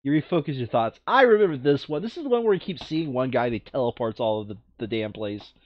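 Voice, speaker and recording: A man casually playing through a board game, reading card text aloud and adding expressive, muttered asides. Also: medium pitch, fast talker, no room sound, lo-fi sound.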